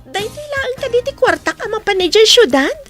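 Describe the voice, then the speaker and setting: A woman's voice: very high-pitched, big pitch swings, moderate pace, loud music behind the voice. A woman playing a demanding, sulky young student daughter in an audio drama.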